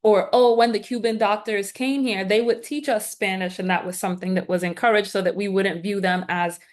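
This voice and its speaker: Woman from guyana, mid-pitched, measured and earnest, explaining things on a call. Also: steady tone. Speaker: guest speaker. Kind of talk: lecture-style answer on a video call.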